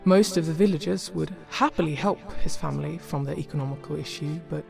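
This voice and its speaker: Woman narrator, pretty low voice, measured storybook reading, loud music behind her. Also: gravelly.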